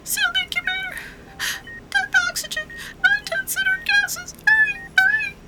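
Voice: very high-pitched, little pitch movement, moderate pace, over loud music, poor audio. An actor voicing a telepathic unborn baby prodigy in a radio play, frantic and imperious, speaking in clipped, urgent fragments. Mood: frantic, furious. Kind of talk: radio drama acting.

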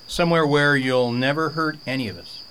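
A man's voice: on the high side, brisk, fairly bright tone, close to an everyday voice. A man playing a brusque, suspicious government agent in a radio drama. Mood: cold. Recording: noisy, with cricket-like background.

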